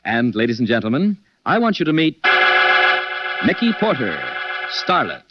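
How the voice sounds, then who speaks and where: An actor playing a confident gentleman detective in a 1940s radio mystery, speaking in a deliberate, emphatic and courteous way. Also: mid-range voice, big pitch swings, loud music behind the voice, close to an everyday voice. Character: confident gentleman detective explaining a murder case. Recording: muffled 1940s radio recording.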